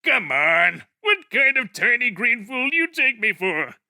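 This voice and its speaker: A high, bright and jumpy voice for a tiny, scheming male cartoon villain, put on by a man. He talks in grand, melodramatic words and swings his pitch all over. The sound is close and dry. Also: very animated, a little slow, dry recording, exaggerated voice. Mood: ranting. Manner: grandiose, shouty, melodramatic wording. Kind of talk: comic fan dub.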